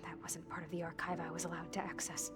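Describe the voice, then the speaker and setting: A woman's voice: on the low side, monotone, fast, close to an everyday voice. An actress playing an anxious, defensive young clone woman in a video game, with clipped, formal, precise wording. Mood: guarded, subdued. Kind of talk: video game dialogue acting. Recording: music behind the voice.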